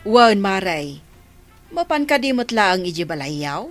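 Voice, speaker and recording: A woman's voice: mid-range voice, very animated, a little slow, faint music behind. A woman playing an inquisitive village neighbour in a comedy radio drama, with an Ilocano accent. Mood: concerned.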